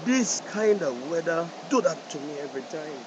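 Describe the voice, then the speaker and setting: A man's voice: fairly high pitch, lively intonation, moderate pace, noisy background, natural voice. A man playing a frantic, pleading older grandfather with a Belizean Creole accent in a radio drama. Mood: distraught. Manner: urgent, pleading. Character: frantic grandfather searching for his missing granddaughter.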